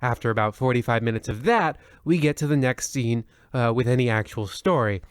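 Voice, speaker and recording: A man's voice: mid-range voice, fairly quick, clean tone, no echo. A man narrating a comedic old-time radio play in a haughty, smug, dry manner, addressing the listener directly. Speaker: narrator and host.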